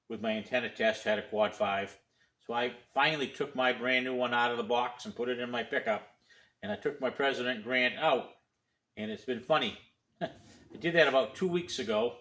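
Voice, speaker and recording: A man with a bright, forceful voice talks casually, like a hobbyist hosting his own demonstration. He has a folksy, rambling manner and often circles back over his phrases. His pitch sits in a middle range. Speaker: CB radio hobbyist host. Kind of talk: casual chat and gear demonstration.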